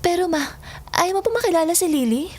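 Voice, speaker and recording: Earnest little girl, very high-pitched. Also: lively intonation, a little fast, a little mellow. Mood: eager, puzzled. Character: earnest young girl insisting her imaginary friend is real. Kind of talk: Filipino audio drama acting. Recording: music under the voice.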